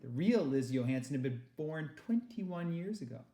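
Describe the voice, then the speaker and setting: A man reading a children's novel aloud with expressive narration, recorded in an echoey room. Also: a little high, moderate pace. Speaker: read-aloud reader.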